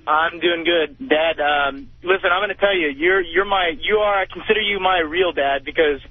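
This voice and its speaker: A man's voice: a little high, moderate pace. A man in his twenties calling a radio show by telephone, chatting in a casual, familiar, rambling way. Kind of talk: casual, personal phone chat. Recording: thin tinny phone line.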